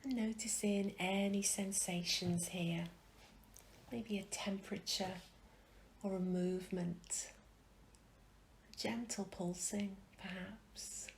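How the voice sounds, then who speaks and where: A woman leading a guided meditation in a gentle, soothing way, with soft phrases that trail into a whisper and long pauses. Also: mid-range voice, fairly even intonation, a little hiss or hum, low-quality recording.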